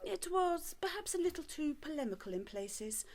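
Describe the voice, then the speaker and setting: A bookish young lady of the Georgian era played by a woman in a radio drama. Her voice is very high and animated, and she speaks with earnest, self-assured precision. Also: normal speed. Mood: earnest, curious. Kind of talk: radio play period acting.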